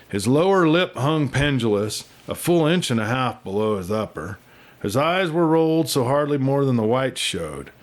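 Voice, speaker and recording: A man narrates a western novel in a folksy, dramatic way. His voice sits at a medium pitch, and he tells it as a storyteller would, with plenty of expression. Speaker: audiobook reader and storyteller.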